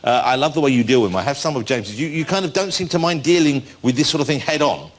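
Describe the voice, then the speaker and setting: A man's voice: mid-range voice, lively intonation, fast. A man hosting a chat show in a TV studio, teasing his guest playfully and tripping over his words. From England. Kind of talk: joking late-night chat show interview. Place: TV studio with audience. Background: studio audience laughter.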